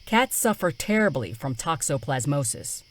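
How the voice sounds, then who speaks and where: A brisk veterinarian in an audio drama. Her lowish voice moves widely in pitch, cheerfully insistent and businesslike as she bluffs. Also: very animated. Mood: brisk bluffing.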